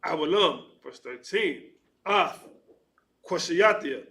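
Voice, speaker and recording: A man reads scripture aloud at a very slow, careful pace. His voice sits a little high and sounds bright. He often stops and restarts hard words. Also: expressive, vocal fry. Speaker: scripture reader. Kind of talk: reading scripture aloud in a religious class.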